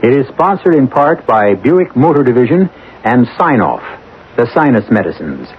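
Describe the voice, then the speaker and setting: A man hosting a radio drama, reading a scripted introduction in a formal, measured, theatrical manner. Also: medium pitch, sing-song, breathy edge. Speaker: host and announcer. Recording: narrow-band, tinny vintage radio broadcast. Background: music.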